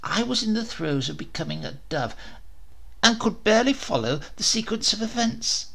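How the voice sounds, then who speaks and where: Man, comic storybook narrator, bright forceful tone, gravelly edge, expressive. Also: high-pitched, normal speed. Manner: expressive first-person storytelling with wry humor. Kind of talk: storybook reading.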